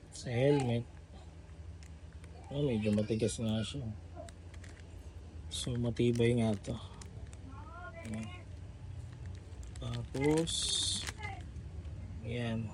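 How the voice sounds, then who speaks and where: A man with a Filipino accent casually unboxing a gadget in a vlog, relaxed and chatty with lots of fillers. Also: medium pitch, extremely slow, long pauses, slight background noise. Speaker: vlogger.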